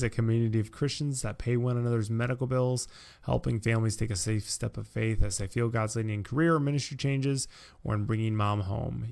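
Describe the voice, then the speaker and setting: Guy hosting a podcast, easygoing n reassuring, bit of vocal fry. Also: mid-range voice, a little mellow. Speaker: podcast host. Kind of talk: casual chat.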